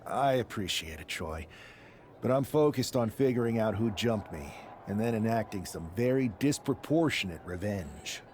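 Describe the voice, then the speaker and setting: A man's voice: fairly low pitch, lively intonation, moderate pace, darkish tone, a little hiss or hum, natural voice. A man playing a dry, sarcastic, stubborn rookie pro wrestler in a video game cut-scene. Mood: dry, irritated.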